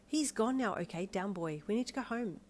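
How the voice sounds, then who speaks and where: A woman voicing a bubbly, excitable young girlfriend who squeals and gushes. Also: a little fast, close to an everyday voice. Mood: playful. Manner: high, squealing, gushing.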